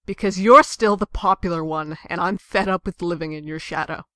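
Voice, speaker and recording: A woman's voice: on the low side, normal speed, a bit bright, no room sound, clean studio sound, natural voice. A woman voicing a bitter, sarcastic estranged sister from fairy tales, biting and dramatic, in a comic dub. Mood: resentful.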